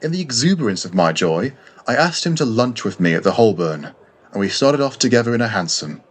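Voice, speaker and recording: A man narrates a classic novel in the first person. His delivery is measured and formal, in the manner of an audiobook reading, with a middling male pitch. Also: big pitch swings.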